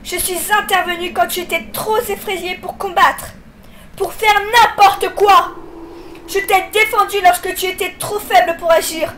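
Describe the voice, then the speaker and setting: Woman voicing a high, taunting demonic child. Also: steady tone, a little slow, noisy background. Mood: hurt, furious. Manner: mocking, theatrical, emotionally intense. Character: taunting, bitter, vengeful demonic child spirit, possessive partner. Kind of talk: amateur comic dub acting.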